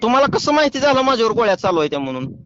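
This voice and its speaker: High-pitched rustic Marathi man, dull audio. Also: big pitch swings, rapid-fire, music in the background. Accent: rural Marathi. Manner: mock-pleading, persistent, rustic. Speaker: prank caller and show host. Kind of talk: prank phone call banter. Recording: dull lo-fi phone call audio.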